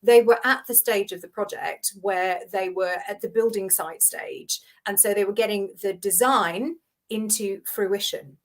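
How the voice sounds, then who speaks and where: A woman in her fifties who grew up in Hong Kong, a guest on a video-call interview, telling her career story with animated acted-out dialogue. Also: mid-range voice, a little slow. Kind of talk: conversational interview.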